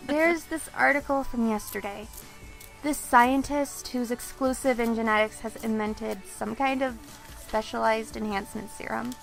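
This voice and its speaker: Audio drama: actress as sensible, exasperated schoolgirl, high-pitched, dry delivery. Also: steady tone, unhurried, creaky, soft background music, poor audio. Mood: interested. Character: bookish teenage schoolgirl, sensible, easily exasperated. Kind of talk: naturalistic audio drama dialogue.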